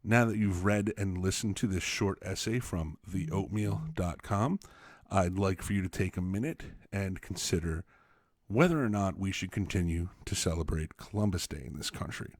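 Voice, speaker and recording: A man reading an essay aloud as a teacher, expressively, with emphatic, deliberate phrasing. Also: low voice, no echo, clean studio sound. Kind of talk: expressive read-aloud narration for students.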